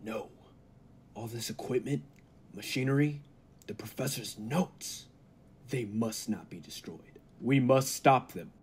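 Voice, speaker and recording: Guy doing an earnest formal lab assistant, mid pitch, old radio drama. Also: husky. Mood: urgent, defiant. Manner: formal, measured. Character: earnest, calm male laboratory assistant scientist. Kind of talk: 1940s radio drama acting.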